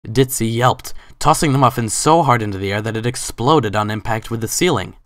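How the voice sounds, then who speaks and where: A man's voice: medium pitch, fairly quick, a bit bright, no room sound, studio quality. A man narrating a scripted story as a dramatic reading.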